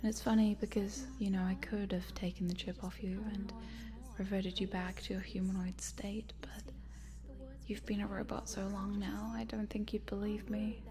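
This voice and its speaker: A woman playing a cute but sinister scientist in an ASMR roleplay, coaxing in a soft, breathy whisper. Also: medium pitch, flat delivery, normal speed, a crowd in the background, close to an everyday voice. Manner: hushed, coaxing, murmuring, giggly asides. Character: cute sinister lady scientist, chatty, coaxing, quietly gleeful.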